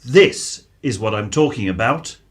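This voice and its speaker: A man's voice: medium pitch, expressive, measured pace, lightly acted. An actor playing a suspicious, sarcastic middle-aged British husband and father in a radio drama. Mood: accusing. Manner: sneering, mock-salesman sarcasm.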